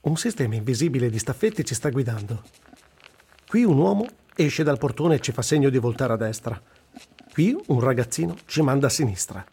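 A man's voice: medium pitch, animated, a little fast, husky. A man playing a sardonic, weary but daring Italian war journalist in a theatrical radio reading of a first-person diary. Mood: grave, vivid narrating. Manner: theatrical, swinging from muttering to shouting. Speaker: voice actor reciting.

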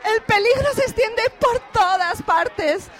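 A very high-pitched female radio reporter , played by an actress, exclaims in horror as she describes what she sees. Her delivery is halting, breathless and melodramatic, in the manner of a parody radio play news flash. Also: lively intonation, crowd noise, noisy background, exaggerated voice. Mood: panicked. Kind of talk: radio drama parody of a live news bulletin.

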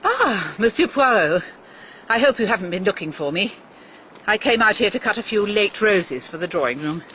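A woman's voice: medium pitch, big pitch swings, moderate pace, muffled recording, slight background noise, close to an everyday voice. An actress playing a genteel, guarded English lady of a country house in a radio drama, polite and composed. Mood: hesitant, troubled. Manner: polite, composed, well-bred.

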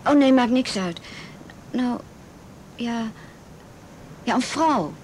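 A slightly noisy radio play recording carries a frightened, guarded teenage girl. Her voice sits on the high side and she speaks haltingly, as if unsure of each step. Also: lively intonation, darkish tone. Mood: cautious, tentative. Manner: hesitant, faltering. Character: frightened, wary abused teenage girl confiding in a friend. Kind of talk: naturalistic radio drama acting.

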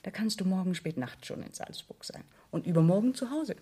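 A woman's voice: medium pitch, lively intonation, brisk, warm tone, husky. An actress playing a wealthy middle-aged aunt in a German radio play, fussy and insistent, anxious about her standing and evasive. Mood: brisk, placating.

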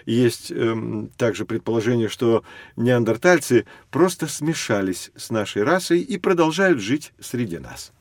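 An actor playing a learned professor, explaining in a deliberate storytelling way in an educational audio drama. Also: male voice, mid-range voice, animated, dry recording, clean studio sound. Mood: patient, instructive. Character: learned male professor guiding children through prehistory.

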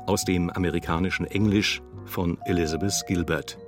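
Deep-voiced male radio announcer. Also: fairly even intonation, normal speed. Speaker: announcer of credits. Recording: music under the announcement.

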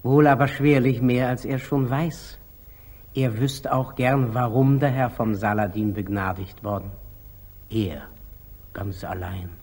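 Meek, obedient monk played by a man, very slow and deliberate, rough-voiced, old radio-play acting. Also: medium pitch, steady tone, darkish tone, close to an everyday voice. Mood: cautious. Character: humble, artless, obedient monastery lay brother. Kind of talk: 1940s German radio stage acting.

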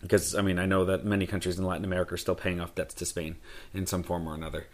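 A man's voice: low-pitched, little pitch movement, rapid-fire. A man interviewing, asking long rambling questions with many fillers and restarts.

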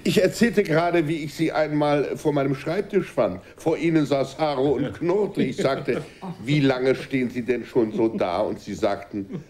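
An actor playing a talkative, jovial gentleman of rank in a German radio drama. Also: mid-range voice, a little slow, lightly acted.

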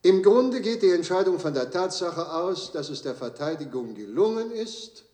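An actor playing a solemn, troubled judge delivering a verdict in a radio drama, with formal, grave and emphatic phrasing. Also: male voice, high-pitched, very animated, moderate pace, dark tone. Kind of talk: German radio drama courtroom speech. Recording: echoey courtroom broadcast sound.

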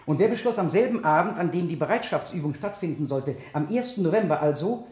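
An actor playing a stern, sardonic prosecutor in a German radio play, cross-examining with precise, insistent, pointed questions. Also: male voice, fairly high pitch, expressive, fast talker, a little mellow, natural voice. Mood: pointed, insinuating. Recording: roomy 1970 studio radio play sound.

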